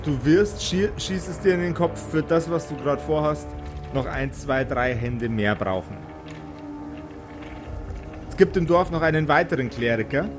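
A man, narrating a tabletop role-playing game as game master, often halting and repeating words as he improvises. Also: a little high, music in the background.

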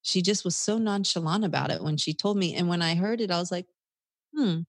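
A woman with a notably low voice talks in a reflective, conversational way, sharing personal stories as a guest. She is from the United States, and the sound is very dry, with no room around it. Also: a little fast, dry recording. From United States, South Carolina. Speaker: interview guest.

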